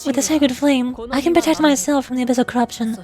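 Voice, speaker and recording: A high-pitched female voice from a woman doing the part carries a fantasy game's warrior ruler. She is determined and earnest, weighed down by duty. Faint music sits beneath the words. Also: fairly even intonation, fast, lightly acted. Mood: resolute. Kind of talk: fantasy video game dialogue.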